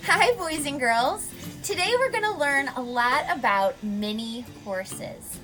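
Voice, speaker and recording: Expressive, high-voiced woman reading to children. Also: slow pace, music in the background, rough recording. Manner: expressive, instructive, asking questions of young listeners. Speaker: teacher reading a picture book to children. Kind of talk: children's read-aloud with teaching asides.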